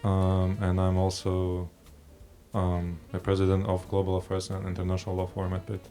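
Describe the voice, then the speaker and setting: Deep flat-voiced young man. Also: soft background music. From Ukraine. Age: young adult. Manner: hesitant, quick answers with fillers. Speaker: contestant.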